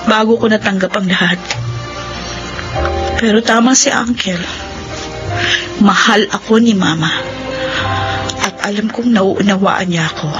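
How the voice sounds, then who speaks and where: A woman's voice: mid-range voice, lo-fi sound, lightly acted. An actress playing a regretful, reflective daughter who earnestly narrates her life story in a radio drama. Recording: prominent music under voice.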